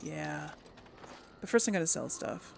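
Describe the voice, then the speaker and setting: Unhurried woman giving casual game commentary. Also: sits low, lively intonation, vocal fry, a little hiss or hum. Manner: unhurried, thinking aloud. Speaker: gaming video host.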